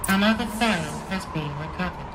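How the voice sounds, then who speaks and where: An actress voicing a courteous, emotionless corporate computer in a video game, formal and scripted. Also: female voice, fairly low pitch, a little fast, very noisy, lightly acted. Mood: impassive. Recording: echoey hall, long reverb.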